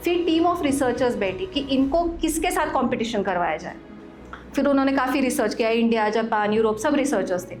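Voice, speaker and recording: A woman in her forties, a wellness coach leading a live workshop, lively, questioning the audience and giving step-by-step instructions while mixing in English phrases. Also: a little high, brisk, faint music behind. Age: 40s. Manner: mixes Hindi with English phrases. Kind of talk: live workshop talk to a group.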